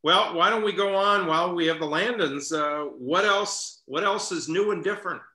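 Bright, forceful American man hosting, roomy sound. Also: high voice, moderate pace. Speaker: show host. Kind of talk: casual panel chat. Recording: echoey room on video call.

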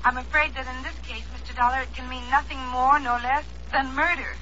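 Anxious high-voiced young woman. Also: steady tone, fairly quick, bright, forceful tone. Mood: anxious, pleading. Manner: urgent, earnest, polite. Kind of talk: 1950s radio acting. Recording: thin, phone-like old radio recording, noisy.

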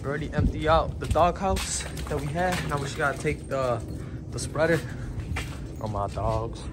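A man's voice: a little high, normal speed, background noise. A young man talking casually into his phone camera, laid-back and slangy, as he films his work day. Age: young adult. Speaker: vlogger. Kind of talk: casual vlog chat. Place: outdoors in a parking lot by a work trailer. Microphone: phone camera held at arm's length.

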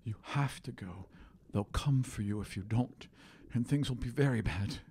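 A man's voice: mid-range voice, normal speed, dark tone, a little hiss or hum, lightly acted. A man voicing a grieving, loving and protective mother in a tabletop game, with a trembling delivery. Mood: grave.

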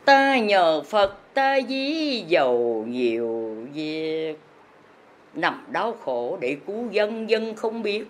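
A woman chants her teaching in long, sing-song verse lines. She speaks very slowly in a fairly low voice and presses her listeners to change their ways. Faint noise sits under the recording. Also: sing-song. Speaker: religious teacher.

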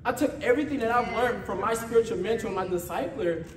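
A man's voice: high-pitched, fast talker, crowd noise. An American man preaching an informal motivational sermon as a church leader, exhorting conversationally, repeating phrases and checking for agreement. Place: church meeting room with responding congregation. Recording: echoey room from far off, faint noise.

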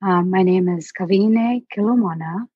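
A young woman from Alaska, an artist chatting as a guest in a relaxed, rambling way with many fillers. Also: mid-range voice, moderate pace, slightly rough, dry recording, phone-quality sound. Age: young adult. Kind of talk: casual interview chat.

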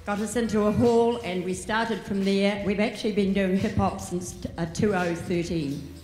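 A person in their eighties from Waiheke Island, a dance crew member answering interview questions plainly and a little haltingly on an auditorium stage. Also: medium pitch, steady tone, darkish tone. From Waiheke Island, New Zealand. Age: 80s. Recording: echoey hall from the stage.

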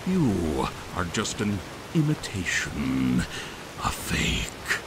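A man voicing an eerie, scornful otherworldly being in a fantasy game, making drawn-out, ominous pronouncements. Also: low voice, wildly swooping pitch, full character voice. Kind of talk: theatrical fantasy game villain. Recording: hissy, with rain noise.

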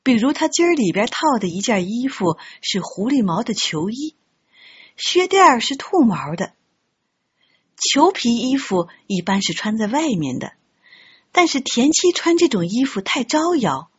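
A woman narrating an audiobook story in an expressive, scripted storytelling style. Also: on the high side, measured pace, slightly rough, highs cut off. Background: background music.

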